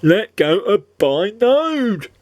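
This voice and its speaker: A man voicing a small, mischievous male prankster in a children's storybook, with a high, giggly, impish delivery. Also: expressive, moderate pace, creaky, full character voice. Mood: pained.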